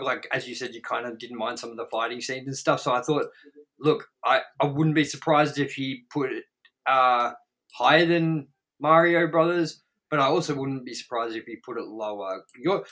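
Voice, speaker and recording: A man's voice: mid-range voice, sharp tone, rough recording. A man co-hosting a casual movie review chat, rambling emphatically and swearing freely. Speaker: review show co-host.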